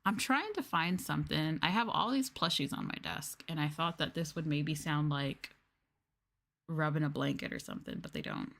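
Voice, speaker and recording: A woman's voice: deep voice, sing-song, moderate pace, creaky, a bit bright. A woman streamer chatting casually on an ASMR livestream, playful, giggly and a bit hesitant, close to the mic. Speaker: VTuber streamer.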